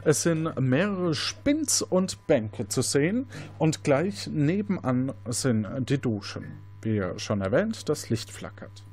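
A man's voice: fairly high pitch, very animated, slow pace, darkish tone, faint music behind. A man hosting an interactive crime game, narrating scenes as game master in a partly improvised, playful way.

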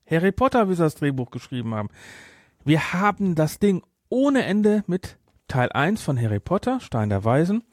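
A man with a German accent chatting casually about a film as a podcast host, with an animated, teasing manner. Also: fairly high pitch, moderate pace, no room sound, studio quality. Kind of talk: casual chat, film discussion.

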